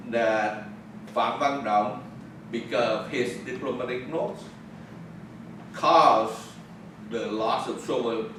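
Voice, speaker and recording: Slow older man with Vietnamese accent, echoey room. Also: mid-range voice, recorded from a distance, noisy background. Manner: halting, often restarts and corrects himself. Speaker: panel moderator. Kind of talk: unscripted conference talk. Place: conference room.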